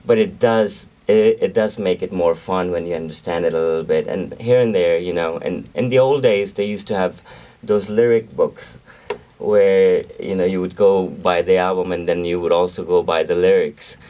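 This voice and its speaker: A man's voice: low voice, steady tone, normal speed, mellow, recorded from a distance. A man who speaks Urdu as his first language, chatting as a guest singer-songwriter in a radio interview, rambling, correcting himself and laughing easily.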